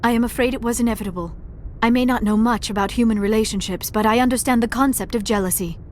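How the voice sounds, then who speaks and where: Actress as a gentle young alien scientist lady, very proper and earnest, game dialogue, faint noise behind. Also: on the high side, fast talker, close to an everyday voice. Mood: awkward, earnest. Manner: formal, precise speech without contractions. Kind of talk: video game dialogue acting.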